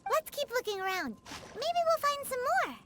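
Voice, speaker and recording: A woman voicing a tiny, cheeky, excitable flying fairy companion in a video game, with a squeaky childlike voice. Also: moderate pace, background noise. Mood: curious. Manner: refers to itself by name, perky. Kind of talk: video game character acting. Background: game music.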